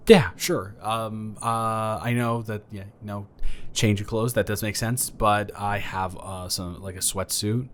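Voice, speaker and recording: Rambling, low-voiced young man next door, acted by a man. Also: steady tone, a little hiss or hum. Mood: relaxed, rambling. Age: young adult. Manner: rambling, halting, self-correcting, awkwardly casual. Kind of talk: improvised romantic roleplay audio, casual chat.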